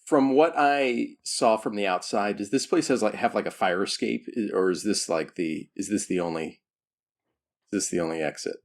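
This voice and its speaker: A man's voice: on the low side, moderate pace, vocal fry. A man chatting casually as a player in a tabletop role-playing game, wry and jokingly defensive.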